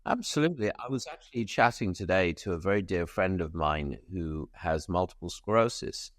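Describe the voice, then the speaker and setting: A man's voice: deep voice, animated, moderate pace, no echo, clean studio sound. A man hosting a medical podcast, reading a formal guest introduction and then chatting with many small hesitations. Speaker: podcast host.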